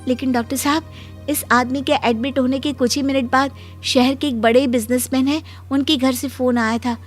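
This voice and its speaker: An anxious young-sounding nurse with a high voice, acted by a woman in a cartoon moral tale. She speaks in a pleading, deferential way over faint music. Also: fairly even intonation, normal speed. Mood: worried, hesitant. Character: anxious, respectful female night-shift nurse. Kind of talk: Hindi moral cartoon story voice acting.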